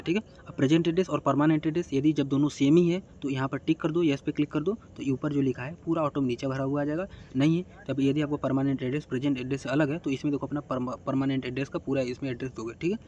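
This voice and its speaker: A man's voice: on the high side, darkish tone, dull audio, a little hiss or hum. A man giving casual, step-by-step instructions as a tutorial host, often adding short check-in tags.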